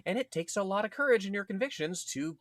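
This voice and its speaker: A man in a casual podcast discussion, speaking haltingly and repeating words as he goes. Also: high voice, animated, a bit bright, no echo, studio quality. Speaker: podcast panelist.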